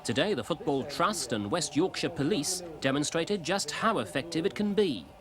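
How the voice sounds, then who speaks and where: A man narrating a clear, explanatory scripted news report. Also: fairly high pitch, animated, moderate pace, a crowd in the background.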